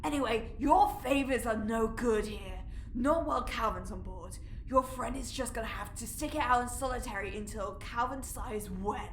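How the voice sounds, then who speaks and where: Woman acting curt grumbling prison guard, slightly high voice, dismissive, audio drama, echoey space. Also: moderate pace, few pauses, a little hiss or hum, lightly acted. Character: gruff, grudging, easily flustered prison guard. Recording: echoey room.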